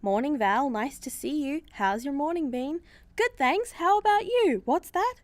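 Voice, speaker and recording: A dutiful female superhero played by a woman in a comedy radio play. She sounds composed and wry, a little high in pitch, with no room echo. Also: animated, unhurried. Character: sensible, dutiful female superhero with dry wit.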